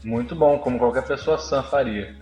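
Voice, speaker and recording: A man's voice: medium pitch, moderate pace, a little mellow. A man with a Brazilian accent chatting casually as a podcast co-host, opinionated and slangy. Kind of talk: casual chat. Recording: roomy echo. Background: faint music.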